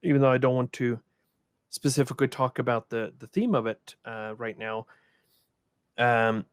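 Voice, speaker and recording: A man's voice: medium pitch, normal speed, smooth, no echo. A man from Sweden moderating a conference panel, speaking thoughtfully with many restarts and repeated words.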